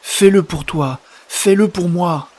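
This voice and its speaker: Audio fiction: actor as loving man begging his dying partner, urgent, husky, on the higher side. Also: takes it slowly, darkish tone. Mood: pleading. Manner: urgent, insistent. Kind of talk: emotional audio-drama acting.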